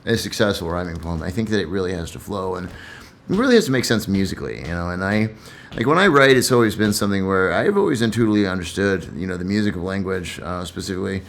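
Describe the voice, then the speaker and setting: Low-voiced man talking poetry, noisy recording. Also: animated, fast talker, vocal fry, a bit bright. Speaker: poetry reader and commentator. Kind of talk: casual commentary and recited rhyming poetry.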